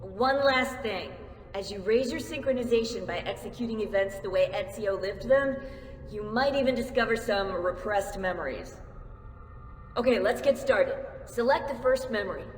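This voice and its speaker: A woman plays a brisk but caring technician in a video game tutorial. Her medium-pitched voice rings in a reverberant hall with some noise behind it. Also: natural voice. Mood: instructive, concerned. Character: brisk, caring young female technician instructing test subject.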